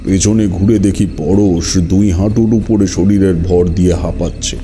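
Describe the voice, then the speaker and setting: A man's voice: deep voice, steady tone, measured pace, dark tone, faint music behind. A man narrating a horror story dramatically in the first person.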